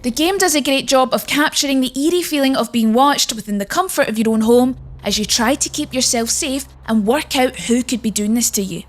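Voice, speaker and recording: A woman's voice: high-pitched, fairly quick, few pauses. A woman narrating a scripted video game list as its presenter.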